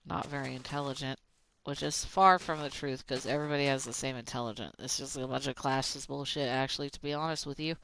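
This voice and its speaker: A streamer from Oklahoma with a Southern accent, rambling in fast, casual talk with plenty of fillers while playing a game. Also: mid-range voice, fairly even intonation, a bit bright, a little hiss or hum, rough recording. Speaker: gaming streamer.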